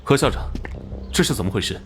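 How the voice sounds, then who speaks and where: A man playing a gruff, hot-tempered police squad captain in an animated crime drama, blunt and demanding. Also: medium pitch, fast, heavy background noise, natural voice. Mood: alarmed.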